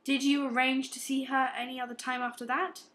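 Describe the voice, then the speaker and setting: A high, bright-voiced, relentless police inspector played by a woman in an amateur radio play. The questions are measured and morally accusing, and the room echoes around them. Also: level tone. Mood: cool, insistent. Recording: roomy, echoing amateur recording.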